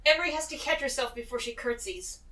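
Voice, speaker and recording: A woman's voice: a little high, expressive, quick pace, sharp tone. A woman playing a tabletop roleplaying game, describing her character's actions in narration.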